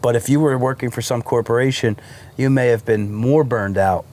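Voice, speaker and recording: A man's voice: mid-range voice, normal speed, darkish tone. A man hosting a casual fireside interview, chatting along and asking long, winding questions. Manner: conversational, agreeing often, asking long questions. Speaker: podcast host. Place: outdoors by a fire pit.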